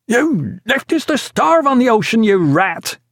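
A man's voice: extremely high pitch, sing-song, measured pace, a bit bright, dry recording, studio quality, pitched above the performer's own voice, exaggerated voice. A man voicing an enraged whaleboat sailor in an audiobook, roaring with barely controlled fury. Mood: furious. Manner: roaring, barely articulate rage. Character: furious starving whaleboat headsmen, roughly roaring.

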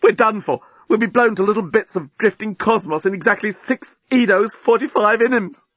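Man doing a high whiny excitable male alien. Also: moderate pace, slightly rough, no room sound, lo-fi sound. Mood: despairing. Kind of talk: comic audiobook character voice.

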